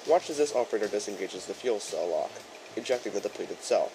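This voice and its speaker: A man playing a courteous chief engineer who presents a training film in formal, measured, instructional narration. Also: on the low side, expressive, noisy background. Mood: instructive, formal. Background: music behind the voice.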